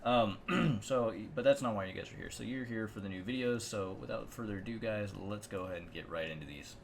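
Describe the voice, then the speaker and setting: Deep-voiced man giving casual game commentary. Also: a little fast, gravelly, heavy background noise. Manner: rambling, offhand. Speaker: video game channel host.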